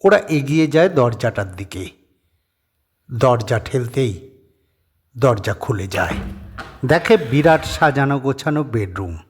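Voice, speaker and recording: A man reads a horror story as its narrator in a medium-pitched voice. He goes slowly and measuredly, pausing between short phrases. The delivery is scripted and dramatic. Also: animated, slightly rough.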